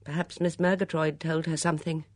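A woman's voice: low voice, level tone, a little fast, a little mellow, no echo, studio quality. A woman voicing an anxious, weary and proper middle-aged Englishwoman in an audiobook, with a strained, emotional manner. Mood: dull, weary.

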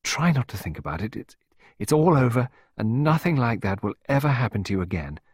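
A man's voice: mid-range voice, very animated, a little fast, breathy edge, no echo, studio quality, voice pushed up. A man voicing a protective married woman in an audiobook, with a firm, commanding manner that can turn soothing. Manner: firm, sharp orders softening to reassurance.

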